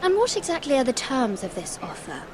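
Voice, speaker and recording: An actress playing an earnest but resolute young noble handmaiden in a game, with guarded, measured delivery. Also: female voice, sits high, huge pitch swings, a crowd in the background, noisy background. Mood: guarded, firm. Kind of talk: dramatic game cutscene acting.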